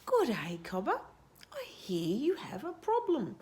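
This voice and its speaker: A woman's voice: a little high, wildly swooping pitch, a little slow, darkish tone, slight background noise, pitched above the performer's own voice. A woman voicing a matter-of-fact scrub fowl with a warbling manner in a children's storybook. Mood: friendly.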